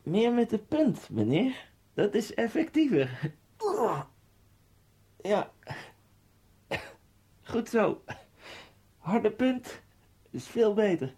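A man's voice: high voice, sing-song, mellow, husky, pitched above the performer's own voice, exaggerated voice. A man putting on a polite, insistent immigrant man. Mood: coaching. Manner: slow, polite, insistent repetition. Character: narrator voicing an Egyptian immigrant man, polite and persistent. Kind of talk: radio drama acting.

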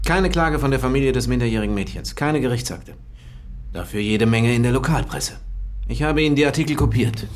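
A man's voice: medium pitch, a little fast, slight background noise, lightly acted. A man playing a diligent police investigator in a radio drama, giving clipped, matter-of-fact reports.

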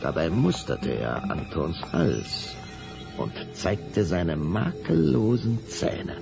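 Male audio drama narrator: deep, gravelly, expressive scripted storytelling. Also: slow, over music.

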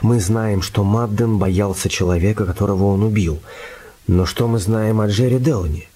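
A man playing a low-voiced, keen amateur detective. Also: moderate pace, natural voice. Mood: analytical. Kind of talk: radio drama acting. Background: loud music.

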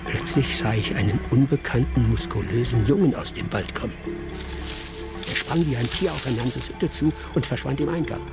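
Man acting as village hunter and storyteller, creaky voice, middle pitch, measured, sly, reflective, radio drama with music behind. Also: lightly acted. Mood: tense recounting.

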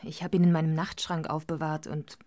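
Woman acting nervy distrustful wife, lowish voice, hesitant and guarded, radio drama. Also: flat delivery, brisk, dark tone, close to an everyday voice. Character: Catherine, uneasy, distrustful wife of a gentleman.

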